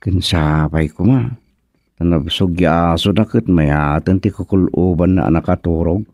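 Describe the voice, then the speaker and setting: A man's voice: sits low, measured pace, darkish tone, no echo, clean studio sound. A man acting a bashful, nervous young suitor in an Ilocano radio comedy, stammering and exclaiming with an Ilocano accent. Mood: nervous, praying. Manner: stammering, exclaiming, muttering anxiously to himself.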